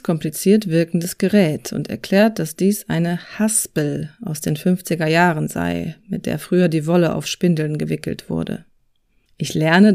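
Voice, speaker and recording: Dark, mellow woman narrating. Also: sits low. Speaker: podcast host narrator. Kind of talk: scripted reflective narration.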